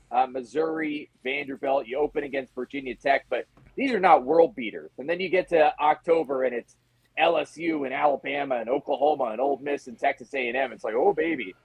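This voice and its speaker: A man's voice: a little high, gravelly, rough recording. A man speaking as a guest sports reporter, giving casual analysis in a conversational way, often voicing rhetorical questions. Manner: conversational, talks in acted-out questions and asides.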